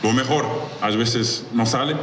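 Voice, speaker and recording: A man's voice: mid-range voice, a little slow. A young man from Lisbon with a Portuguese accent, a native Portuguese speaker giving unscripted player interview answers in a reverberant, noisy space. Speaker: athlete, team player. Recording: echoey reverberant hall, clear background noise.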